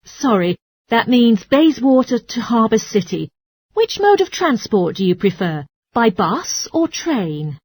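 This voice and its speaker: A woman's voice: fairly high pitch, big pitch swings, unhurried, a little mellow, no echo, full character voice. A woman playing a courteous, helpful transport information clerk in a scripted language-test dialogue.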